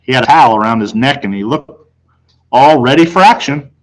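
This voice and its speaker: An American man reads a children's book aloud in the first person. His pitch sits in the middle and his delivery is expressive, like a teacher with a class. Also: fairly quick, poor audio. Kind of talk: audiobook-style read-aloud of children's novel, first person.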